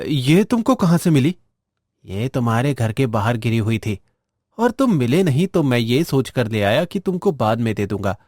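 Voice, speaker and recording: Man acting cartoon village boy, mid-pitched, mellow, dry close sound, earnest, questioning. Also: no echo. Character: village boys, one naive, one sly and greedy. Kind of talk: cartoon story dialogue.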